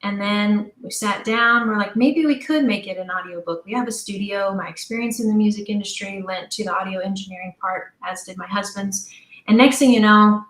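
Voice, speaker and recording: Middle aged woman chatting away telling her story, casual and animated, medium pitch, echoey room. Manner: chatty, animated retelling with quoted dialogue. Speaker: interview guest, audio drama creator. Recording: roomy, echoing sound.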